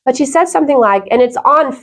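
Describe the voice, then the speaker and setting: A woman's voice: high voice, expressive, fast. A woman talking casually as an interview guest, earnest and emphatic in how she makes her points. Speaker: podcast guest.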